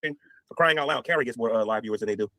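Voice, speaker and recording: A man's voice: medium pitch, quick pace, pushed and bright, dry recording. An older man from the United States with a head cold, talking casually on a livestream and preaching with scripture quotes. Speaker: streamer.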